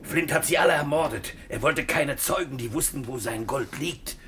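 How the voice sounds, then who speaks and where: An actor playing a wild, lonely marooned ex-pirate sailor in a radio play, halting and eager. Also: male voice, a little high, sharp tone, a little hiss or hum. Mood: earnest, grave. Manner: halting, wistful, eager, with sudden urgency. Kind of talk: German-language radio play acting.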